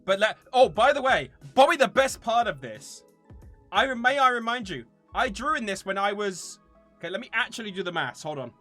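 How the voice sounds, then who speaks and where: A man's voice: high-pitched, sing-song, a little fast, a bit bright, faint music behind. A man of about thirty chatting casually to viewers as a channel host, in a playful, theatrically mock-serious way. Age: around 30.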